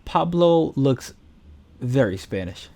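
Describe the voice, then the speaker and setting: A man's voice: medium pitch, animated, takes it slowly, gravelly, a little mellow. A man co-hosting a casual film and video game chat, speaking in an opinionated, blunt way. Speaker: podcast co-host reviewing films.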